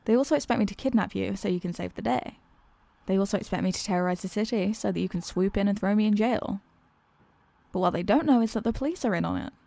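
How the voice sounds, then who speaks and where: A woman acts out a female supervillain in a comedic audio roleplay. She is theatrical and sarcastic, an exasperated schemer tired of being evil. Her mid-pitched voice sits close and dry, with no room around it. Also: quick pace, vocal fry, mellow, no echo, natural voice. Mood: smug explaining. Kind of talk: comedic ASMR roleplay monologue.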